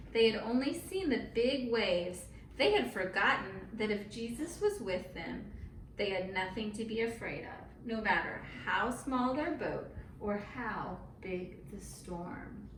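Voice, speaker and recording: A woman with a fairly high voice tells a story to children in simple, encouraging language with dramatic emphasis. She is heard a little away from the mic in an echoey room, with faint noise. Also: fairly bright tone, distant mic. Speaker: children's Bible story teacher. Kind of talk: animated storytelling and chat with children.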